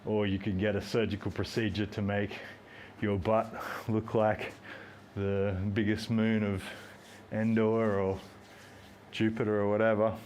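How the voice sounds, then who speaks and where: A man's voice: on the low side, flat delivery, a little slow, pauses often, darkish tone, slight background noise. A man, hosting a fitness live stream, rambling and joking while he does squats and counts reps aloud. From Australia. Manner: talks while doing squats.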